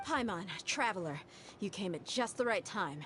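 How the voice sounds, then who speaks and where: A woman's voice: medium pitch, animated, moderate pace, lightly acted. A woman voicing a direct, no-nonsense young female mercenary in a game. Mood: brisk.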